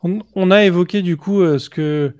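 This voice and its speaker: Guy hosting a podcast, voice a bit high, lots of ums while asking. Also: normal speed, a little mellow. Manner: hesitant, many fillers. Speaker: podcast host. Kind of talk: casual interview questions.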